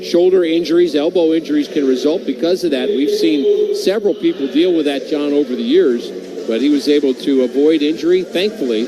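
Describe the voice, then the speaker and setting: A man who played the game, giving relaxed, conversational color commentary on a radio baseball broadcast. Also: on the high side, a little fast, noisy background.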